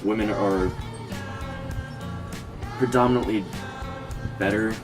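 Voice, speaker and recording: A young man explaining a class experiment in a halting way, with pauses and fillers. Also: fairly low pitch, steady tone, over music. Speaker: student presenter.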